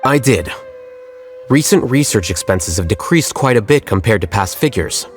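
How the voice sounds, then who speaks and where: A calm, blunt young scholar, voiced by a man in a game, measured and plain-spoken. His voice sits a little low but rises and falls with lively turns. Faint music plays beneath. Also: lightly acted. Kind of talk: game voice acting.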